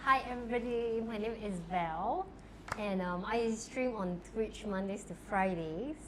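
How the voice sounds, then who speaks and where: A woman's voice: mid-range voice, unhurried, a bit bright, a little hiss or hum. A young adult woman from Taiwan, chatting casually as a podcast guest and filling her talk with "like". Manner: chatty, lots of filler "like". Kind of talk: casual chat.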